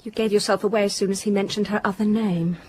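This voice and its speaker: An actress playing a proud, guilt-stricken young daughter of a well-to-do family in a radio drama, earnest and defensive. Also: female voice, medium pitch, fairly even intonation, fast, a little mellow, a little hiss or hum, close to an everyday voice. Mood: accusing. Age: young adult. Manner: earnest, defensive, faltering under questioning.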